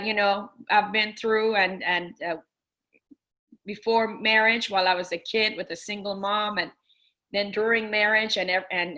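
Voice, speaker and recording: Middle-aged woman guest, mid pitch, earnest, loose and talkative. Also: bright, forceful tone, rough recording. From Persian family. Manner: earnest, full of restarts and fillers. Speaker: business coach guest. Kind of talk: casual interview talk.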